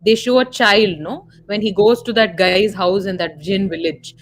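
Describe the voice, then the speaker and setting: A woman's voice: medium pitch, steady tone, moderate pace. A woman with an Indian accent co-hosting a casual film discussion, explaining and clarifying points as she goes. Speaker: live stream co-host.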